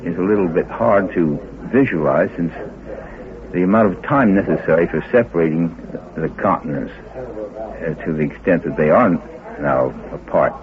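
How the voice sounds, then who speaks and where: An older man with a very low voice explains himself as a scientist in an informal interview. He is halting and learned, recorded on narrow-band tape with clear chatter behind him. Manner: halting, searching, learned wording.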